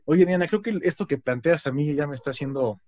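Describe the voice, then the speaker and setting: A man's voice: fairly high pitch, lively intonation, a little fast, a little mellow, no room sound. A man with a Mexican Spanish accent hosting a casual conversation, chatty, with frequent fillers and tag questions. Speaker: host.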